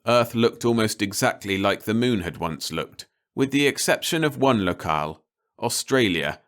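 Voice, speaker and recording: A man reading a story aloud as an audiobook narrator, in a measured, wry storytelling manner. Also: fairly low pitch, fairly bright tone. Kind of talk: scripted story narration, read-along audiobook.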